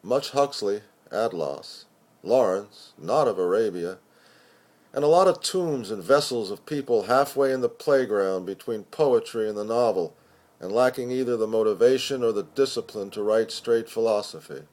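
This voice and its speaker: A man reading a narrative poem aloud in a measured storytelling manner with pauses. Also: lowish voice, a little mellow. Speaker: poetry reader. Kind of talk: dramatic spoken-word poetry reading.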